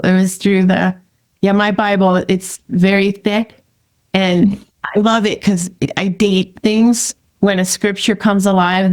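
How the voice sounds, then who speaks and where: Affirming woman podcast host. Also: mid-range voice. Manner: enthusiastic, affirming. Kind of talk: casual conversational interview.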